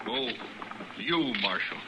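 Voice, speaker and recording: An actor playing a harsh, hot-tempered frontier father in a 1950s radio western, gruff and threatening, with a rural Western drawl. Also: medium pitch, animated, takes it slowly. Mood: curt, menacing. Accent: rural American Western drawl. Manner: gruff, threatening, folksy speech. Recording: hissy old radio recording.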